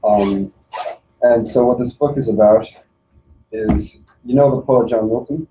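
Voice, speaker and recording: A man's voice: medium pitch, a little slow, warm tone. A man in casual chat, making dry, wry remarks. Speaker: club member. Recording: echoey room with faint noise.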